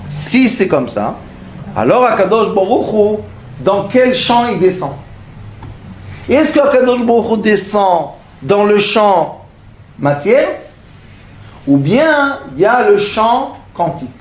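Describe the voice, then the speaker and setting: Rabbi giving a lesson, man with a high voice, asks the room questions, acts out small scenes, echoey hall. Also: big pitch swings, slow, long pauses. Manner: storytelling, acts out little dialogues, rhetorical questions. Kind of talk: Torah lesson. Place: lecture hall.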